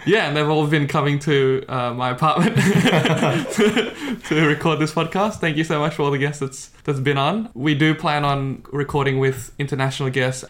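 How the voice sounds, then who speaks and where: A man's voice: a little high, moderate pace, few pauses, a bit bright, a little hiss or hum. A man from Indonesia chatting casually as a podcast co-host. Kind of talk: casual chat.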